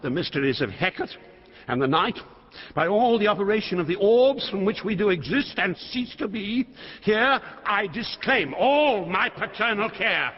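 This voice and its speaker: A man's voice: sits high, lively intonation, husky. An actor playing an aging, proud and volatile king and father in a classical Shakespearean stage drama, speaking in a grand, commanding manner. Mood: enraged. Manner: grand, theatrical, deliberate, commanding. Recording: muffled, reverberant hall sound.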